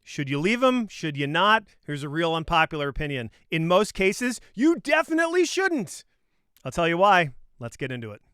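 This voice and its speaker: A man with a fairly high, very clean and smooth voice gives informal sales coaching. He is emphatic and conversational, driving his opinions home with punchy rhetorical questions. The sound is close and dry. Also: very animated, normal speed, pushed and bright, no echo. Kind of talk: informal opinionated sales advice talk to camera.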